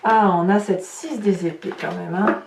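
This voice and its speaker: A woman's voice: medium pitch, fairly even intonation, a little slow, vocal fry, background noise. A woman giving an unscripted tarot reading to viewers, repeating words for emphasis as she searches for the next one. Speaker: tarot reader, host of horoscope guidance videos. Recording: roomy echo, distant microphone.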